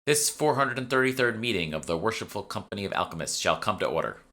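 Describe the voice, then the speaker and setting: A man voicing a formal, measured guild secretary running a meeting in a fantasy audiobook. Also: lowish voice, very animated, bright, forceful tone, pitched above the performer's own voice, exaggerated voice. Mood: officious. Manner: officious, measured, formal wording. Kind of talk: audiobook character dialogue.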